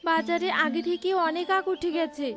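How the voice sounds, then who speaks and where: A woman's voice: extremely high pitch, steady tone, normal speed. A woman voicing a brave, hopeful cartoon mother bird in an earnest, motherly way, with music behind her. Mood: reassuring. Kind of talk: cartoon character acting.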